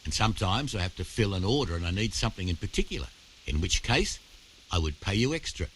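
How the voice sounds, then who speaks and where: A man's voice: deep voice, sing-song, fast talker, a little hiss or hum, rough recording. A man playing a wry, practical medieval tanner in a video game, talking like a conspiratorial tradesman. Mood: sly, businesslike. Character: wry, practical male medieval tanner offering shady hunting jobs. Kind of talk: video game character dialogue.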